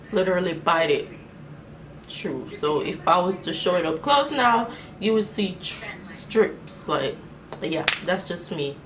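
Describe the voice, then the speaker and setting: A woman's voice: mid-range voice, a bit bright, far from the mic. A young Jamaican woman chats through a casual question-and-answer vlog, rambling with plenty of fillers. She sits a little back from the camera mic. A faint hiss lies under her voice. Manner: chatty, rambling, many fillers. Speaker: vlogger.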